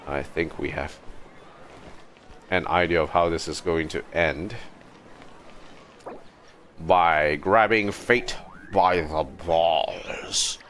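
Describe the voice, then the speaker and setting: Slow, low-voiced man streaming a game. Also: fairly bright tone, a little hiss or hum. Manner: musing aloud, hesitant, halting. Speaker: livestream host playing a game. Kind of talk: casual gaming livestream commentary. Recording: game music behind the voice. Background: video game music.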